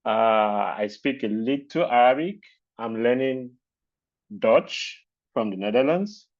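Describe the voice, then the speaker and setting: A man's voice: mid-range voice, takes it slowly. Man with a Nigerian accent, lo-fi call. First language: Yoruba. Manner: hesitant, many uh fillers and restarts, chuckles. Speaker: webinar host. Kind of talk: casual webinar chat. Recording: dull lo-fi video call audio.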